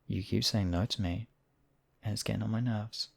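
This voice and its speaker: A man's voice: fairly low pitch, creaky. A man playing a smug, obsessive demon king in a theatrical audio roleplay, silky and possessive in his wooing. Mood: irritated, threatening. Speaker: voice actor. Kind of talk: theatrical roleplay monologue, ASMR audio drama.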